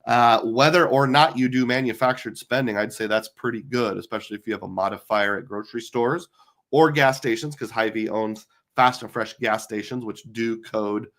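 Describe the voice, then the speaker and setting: A middle-aged man with a hoarse, tired voice, hosting a live stream in casual chat, rambling with lots of fillers. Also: mid-range voice, moderate pace, a bit bright. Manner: chatty, rambling with many fillers. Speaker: livestream host.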